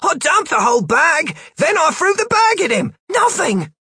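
A man voicing frantic male dragon riders who bicker in high, whiny voices. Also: very animated, moderate pace, sharp tone, no room sound, studio quality, full character voice. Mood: exasperated.

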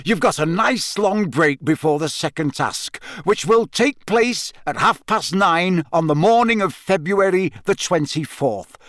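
A man's voice: high voice, expressive, measured pace, few pauses, fairly bright tone, dry recording, clean studio sound, pitched above the performer's own voice. A man voicing a jovial, effusive middle-aged official hosting a contest in an audiobook. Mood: jovial, brisk. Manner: effusive, announcing.

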